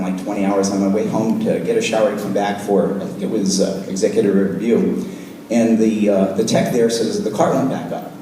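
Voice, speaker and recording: A man hosting a live panel event, his voice hoarse, telling rambling stories with frequent restarts and chuckles. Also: medium pitch, warm tone. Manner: rambling, self-interrupting, chuckling. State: hoarse from shouting. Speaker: event organizer and host. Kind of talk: casual storytelling on a live panel. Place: event hall with a live audience. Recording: distant, echoey room recording with noise.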